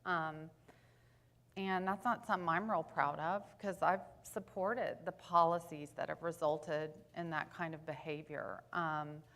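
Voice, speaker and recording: A woman with a lowish voice speaks on a panel as a school board member. She talks candidly in anecdotes and pokes fun at herself. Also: steady tone, measured pace, a bit bright. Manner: candid and anecdotal, laughing at herself, loses her thread. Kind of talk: panel discussion.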